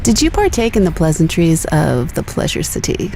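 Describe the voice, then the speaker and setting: A guarded young woman space explorer speaks in a lowish voice with heavy fry. Her delivery is dry, understated and teasing, like intimate sci-fi radio drama over ship comms, with some background noise. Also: big pitch swings, moderate pace, dark tone, exaggerated voice, low-quality recording. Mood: guarded, wry. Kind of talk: intimate, naturalistic audio drama dialogue.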